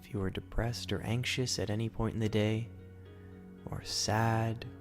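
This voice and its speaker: A man leading a guided sleep meditation as host, in a soothing, gentle, instructional manner. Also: lowish voice, flat delivery, a little slow, over music.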